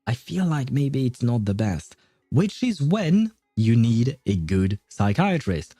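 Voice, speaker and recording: Male game streamer; mellow, dry close-mic voice, rambling and jokey, faint music behind. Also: medium pitch, very animated, slow, no room sound. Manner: rambling, jokey, thinking aloud. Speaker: livestreamer. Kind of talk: casual livestream game commentary.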